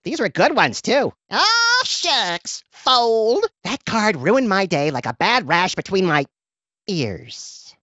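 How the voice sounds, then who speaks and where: A man's voice: high voice, takes it slowly, no room sound, dull audio, full character voice. A male actor playing a small, violent, gleeful rabbit-like video game creature with a flippant, theatrical manner. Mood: disgusted, gloomy. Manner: flippant, theatrical, deadpan absurd menace. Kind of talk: comedic video game voice acting.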